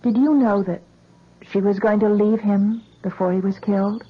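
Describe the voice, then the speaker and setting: An actress playing a concerned, earnest woman who reasons gently and persuasively in a 1970s radio drama. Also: medium pitch, fairly even intonation, normal speed, breathy edge, natural voice. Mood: gentle, careful. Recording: dull vintage radio recording.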